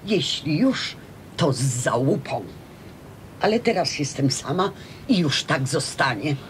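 A woman's voice: expressive, slow pace. An actress playing an assertive woman neighbour in a radio drama, emphatic and wry, fed up with men. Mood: defiant, wry. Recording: rumbly, noisy radio recording.

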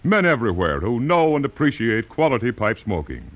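A man's voice: mid-range voice, huge pitch swings, fairly quick. A man announcing a scripted 1940s radio commercial. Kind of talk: 1940s radio advertising read. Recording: muffled 1940s radio recording.

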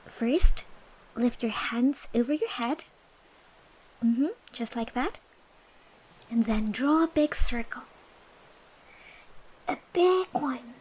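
A woman voicing a gentle, cheerful young female dancer in a soft, soothing ASMR comfort roleplay, breathy and encouraging. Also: high-pitched, heavily acted. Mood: warm, encouraging. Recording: faint background music and ambience.

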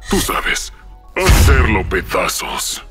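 A jaded cartoon soldier played by a man, with a lowish, hard-edged voice. He speaks bluntly, in a Latin American Spanish accent. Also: big pitch swings, slow pace, fairly bright tone, soft background music, full character voice. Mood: jaded, businesslike. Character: weary, secretive male soldier client in gas mask. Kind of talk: animated fan dub acting.

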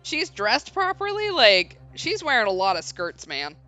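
A woman's voice: a little high, huge pitch swings, a little slow, bright, forceful tone, faint music behind. A woman streaming a game casually, reading dialogue aloud and chatting about it in between. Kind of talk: casual let's play with voiced dialogue.

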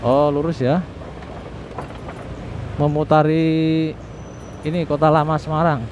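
A male motovlogger talks casually as he rides through city streets. His voice sits a little high and he speaks in an unhurried way, dropping asides to himself and his viewers. The sound is somewhat dull, with clear engine and traffic noise around him. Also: level tone. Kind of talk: casual riding commentary. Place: outdoors, riding a motorbike through city streets. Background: vehicle engines and street traffic noise.

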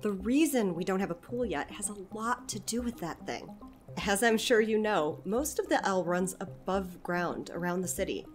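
Actress as evasive community center manager, woman, lively intonation, hesitant, earnest, audio drama, music behind. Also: mid-range voice, normal speed, natural voice. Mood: earnest explaining. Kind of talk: naturalistic audio drama acting.

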